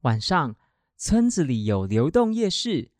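A storyteller narrates a children's radio drama from a script. The delivery is animated and widely varied in pitch, recorded dry with little room sound. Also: a little slow, dry recording.

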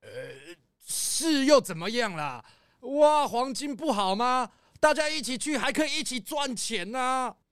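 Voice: high voice, animated, a little slow, fairly bright tone, clean tone. Actor as a brash, swooping male schemer. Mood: defiant. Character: brash, scheming, defensive man, greedy for gold. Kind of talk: children's detective radio drama acting.

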